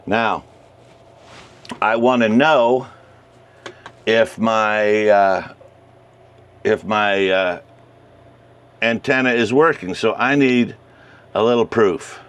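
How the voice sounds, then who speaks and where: Low-pitched man explaining his hobby casually. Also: takes it slowly, long pauses, fairly bright tone, clear, resonant tone. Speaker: amateur radio hobbyist presenting a how-to video. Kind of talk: casual explanatory vlog talk.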